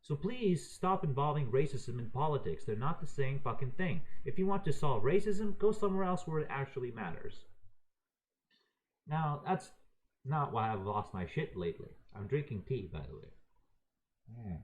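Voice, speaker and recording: A man rants about his gripes, heated and exasperated and swearing freely. His pitch climbs and dips a lot as he pushes each point. He speaks off the cuff, straight to his viewers. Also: big pitch swings, moderate pace. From raised in Croatia. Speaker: video ranter. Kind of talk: unscripted opinion rant.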